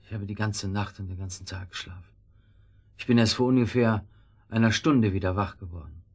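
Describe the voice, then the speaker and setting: A low-voiced, guilt-ridden man in a radio drama. His voice is husky and worn. He sounds groggy and hungover, and his words come heavily and haltingly. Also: a little mellow. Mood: weary, evasive. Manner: halting, heavy-tongued, weary. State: groggy, hungover after drink and pills. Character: guilt-ridden, cowardly man dictating a murder confession. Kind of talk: German radio drama acting.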